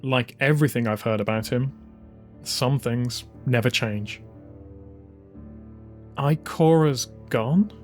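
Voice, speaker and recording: A man's voice: medium pitch, steady tone, unhurried. A man reading scripted, dramatised narration as an audiobook narrator. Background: music.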